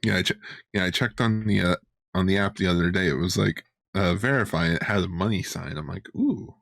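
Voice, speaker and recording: Man, podcast co-host, low gravelly voice, dry sound, casual irreverent joking. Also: brisk, a bit bright, dry recording. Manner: jokey, irreverent.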